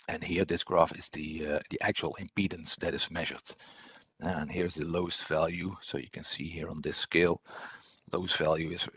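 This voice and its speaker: A man with a low, husky voice gives a technical webinar presentation. He speaks hesitantly and often repeats himself as he explains. The sound is close and dry. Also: dry recording. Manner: hesitant, repetitive phrasing. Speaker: presenter, application engineer.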